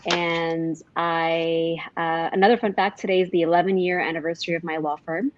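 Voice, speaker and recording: Low-voiced woman on a lo-fi call. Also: little pitch movement, moderate pace, no room sound. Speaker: contestant, immigration attorney. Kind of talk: casual self-introduction. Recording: dull lo-fi video call audio.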